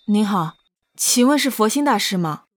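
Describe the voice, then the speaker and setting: A woman's voice: medium pitch, lively intonation, normal speed, lightly acted. A woman playing a young female disciple disguised as a boy, polite, questioning and hesitant, in a Chinese period audio drama. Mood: respectful, curious.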